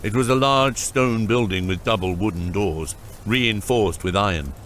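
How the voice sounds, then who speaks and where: A man's voice: on the low side, moderate pace, a bit bright, a little hiss or hum. A man reading scripted narration for an epic fantasy audiobook.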